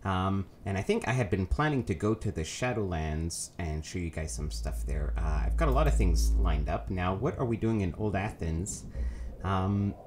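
A man's voice: low-pitched, animated, moderate pace, background noise. A man with a cold and a nasal voice, giving rambling, casual commentary over his gameplay as a let's play host, with lots of ums and self-corrections.

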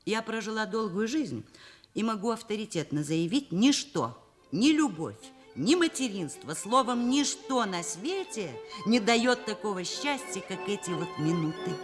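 A woman's voice: mid-range voice, big pitch swings, unhurried. An older actress playing a reflective, kindly and candid woman professor in a Soviet radio play. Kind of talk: Soviet radio drama narration. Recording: vintage radio play, music underneath.

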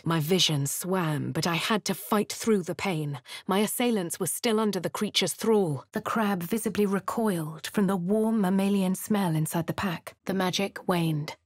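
A woman's voice: on the low side, no echo. An actress voicing a posh female adventurer in a fantasy game, narrating and remarking in a refined, dry manner. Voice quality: crisp upper-class English diction. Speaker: player character. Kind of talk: game voice lines.

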